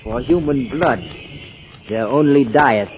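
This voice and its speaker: An actor playing a mad scientist villain in an old radio horror drama, gloating and theatrical with mock courtesy. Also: male voice, mid-range voice, slow, natural voice. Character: gloating male mad scientist villain, proud of his monstrous creations. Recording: muffled, noisy old radio recording.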